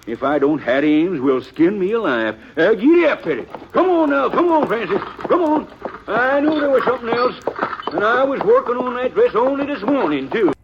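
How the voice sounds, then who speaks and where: A man's voice: extremely high pitch, sing-song, moderate pace, exaggerated voice. An actor playing a chatty, folksy frontier wagon peddler with a rural Western American accent and an eager salesman's patter, in 1950s radio western style. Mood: flustered. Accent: rural American West. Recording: muffled, noisy old radio recording.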